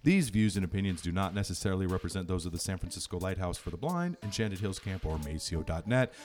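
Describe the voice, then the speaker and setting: A man hosting a podcast from a podcast room at a camp, joking with his guest and reading a quick disclaimer. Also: deep voice, expressive, a little mellow. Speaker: podcast host.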